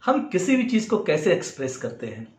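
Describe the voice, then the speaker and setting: A man with an Indian accent giving an explanatory lesson in a measured way as a music teacher. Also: high voice, wildly swooping pitch. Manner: measured, demonstrates points with acted example phrases. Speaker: music teacher and video host. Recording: roomy, echoing sound.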